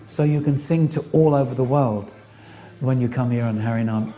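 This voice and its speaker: A man's voice: mid-range voice, normal speed. A man talking casually in an interview, with a rambling, hesitant flow. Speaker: temple devotee interviewee. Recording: dull lo-fi with soft music.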